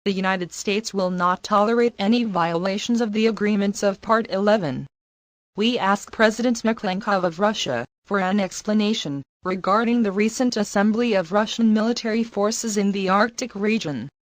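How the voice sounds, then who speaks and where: A woman's voice: mid-range voice, normal speed, no room sound, close to an everyday voice. A woman playing a stern defense secretary reading a formal official statement. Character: stern female defense secretary issuing a warning. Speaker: government official.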